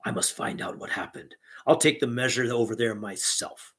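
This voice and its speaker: A man voicing a greedy, brutal man in a fairy tale, speaking bluntly and gruffly. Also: medium pitch, moderate pace, fairly bright tone. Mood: startled.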